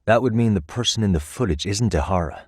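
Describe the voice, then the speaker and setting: An American man voicing a level-headed, thoughtful detective in a video game, with measured, articulate delivery as he reasons aloud. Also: on the low side, animated, a little mellow, breathy edge, dry recording, studio quality. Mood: analytical, serious. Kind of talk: scripted game dialogue.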